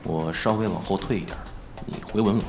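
A man's voice: low-pitched, fast, over music, natural voice. A man playing a teasing, cocky, easygoing film actor in an audio drama, with a playful drawl. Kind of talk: Chinese audio drama acting.

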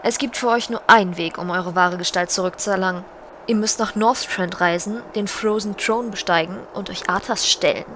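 A woman's voice: mid-range voice, normal speed, a bit bright, close to an everyday voice. A woman playing a regal, stern undead elf queen in a fantasy parody audio drama, speaking with formal, archaic courtesy. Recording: background noise.

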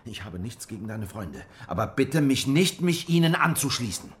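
An actor playing a weary, distrustful survivor of a crushed peasant revolt in a German radio drama, brooding and bitter. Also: male voice, medium pitch, sing-song, normal speed, fairly bright tone, slight background noise. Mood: agitated, distrustful. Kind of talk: German radio drama dialogue and first-person narration. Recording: music behind the voice.

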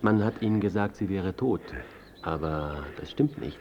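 An actor playing a pushy, self-important male biographer in a radio drama, smooth-talking and evasive. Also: low voice, expressive, normal speed, warm tone, highs cut off, slight background noise. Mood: insistent. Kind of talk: radio drama dialogue and narration.

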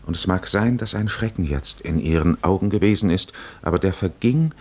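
A man narrating a literary 1950s radio drama in a solemn, measured manner with deliberate pauses. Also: low voice.

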